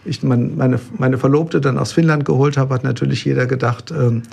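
A man in his fifties, a pastor, telling his story in an interview with relaxed hesitations. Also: mid-range voice, brisk, vocal fry, husky. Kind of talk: interview conversation.

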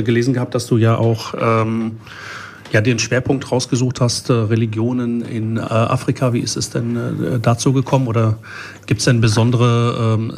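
A man's voice: mid-range voice, a little mellow, a little hiss or hum. A man hosting a local radio interview, speaking hesitantly with many ums and uhs. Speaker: host of a local radio show.

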